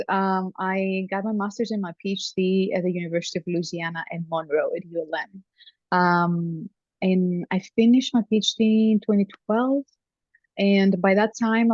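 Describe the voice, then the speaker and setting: A woman from Greece speaks in an easy, chatty way, often pausing on "um" and laughing lightly. Her voice is dry and close, but the sound is a little dull and lo-fi, like a video call. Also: fairly low pitch, dry recording. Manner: warm, chatty, many ums and soft laughs. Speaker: family therapy professor, guest. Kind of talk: conversational interview.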